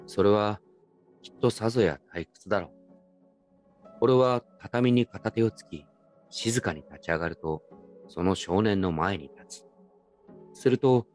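A man playing a gentle, nostalgic grown man who narrates his memories in a reflective, unhurried radio drama style. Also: sits low. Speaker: narrator and lead actor. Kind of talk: first-person radio drama narration with character dialogue.

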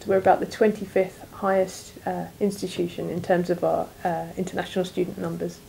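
A woman in her thirties answering an interview informatively, in a measured, halting way. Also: on the low side, level tone, gravelly, noisy background. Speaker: university staff member. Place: small office.

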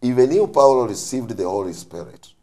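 A man's voice: medium pitch, expressive, moderate pace, warm tone. A man, a bishop on a panel, explaining emphatically in short, preacherly phrases. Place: TV studio. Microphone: lapel mic.

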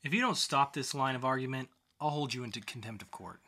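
A man's voice: mid-range voice, fast, pushed and bright. A man playing a stern, indignant courtroom judge, scolding and emphatic, in a dramatic comic book reading.

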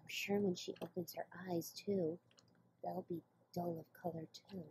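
A woman's voice: lowish voice, steady tone, moderate pace, vocal fry, warm tone, husky, exaggerated voice. A woman voicing an earnest, knowledgeable young pony mare in a fan cartoon. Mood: thoughtful. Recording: faint noise, played-back web video.

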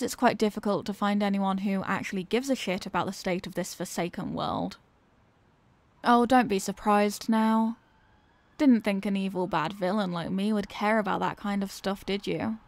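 A woman's voice: medium pitch, no room sound, lightly acted. A woman voicing a dominant, mocking female villain in an ASMR roleplay, with a condescending, teasing, theatrical manner. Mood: teasing, scornful.

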